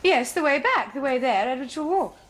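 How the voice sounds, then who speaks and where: A cool, haughty young upper-class English lady in a 1970s television period drama. Her fairly high voice is crisp and condescending and moves with lively rises and falls. A faint hiss lies beneath the old broadcast sound. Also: a little fast. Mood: cutting.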